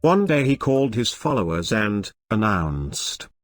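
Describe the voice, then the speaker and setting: A man's voice: fairly low pitch, very animated, a little slow, no room sound. A man narrating a scripted moral story for a cartoon. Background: faint music.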